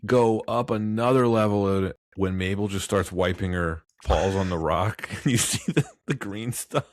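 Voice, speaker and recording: A man's voice: fairly low pitch, moderate pace, no echo. A man co-hosting a film podcast, speaking in casual conversation about movies.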